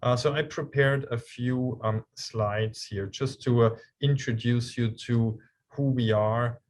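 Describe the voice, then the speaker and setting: A man with an Austrian German accent, a cruise line executive giving a polite, measured online slide presentation with some fillers. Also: mid-range voice, fairly even intonation, a little mellow, slight background noise.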